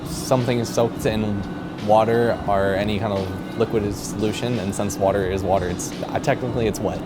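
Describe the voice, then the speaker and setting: A man's voice: fairly low pitch, fairly even intonation, brisk. An eighteen-year-old man from the American Midwest giving a casual on-camera interview. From Minneapolis, United States. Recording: loud music behind speech.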